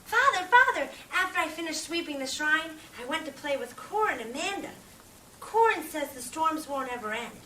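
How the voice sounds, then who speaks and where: A woman acting an eager, innocent young orphan boy in a fantasy audio drama, excitable and earnest. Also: high-pitched, normal speed, heavily acted. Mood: anxious, eager. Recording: roomy, distant, faint background noise.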